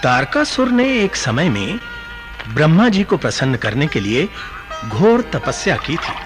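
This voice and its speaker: An actor playing a learned sage who tells sacred legends in a deliberate, expressive radio drama manner. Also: male voice, a little high. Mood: emphatic. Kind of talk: mythological radio drama storytelling. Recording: studio radio play with music behind.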